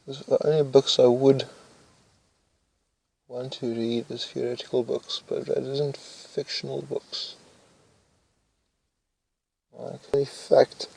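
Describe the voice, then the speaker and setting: A young man speaks slowly, his low voice gravelly and mellow, answering questions to himself in a flat, mumbling way with pauses to think. The sound is dry and close, with no room around it. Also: no echo, rough recording. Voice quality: says his voice is loud. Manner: slow, flat, mumbling delivery, thinking aloud with hesitations. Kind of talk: casual commentary while reading test questions aloud.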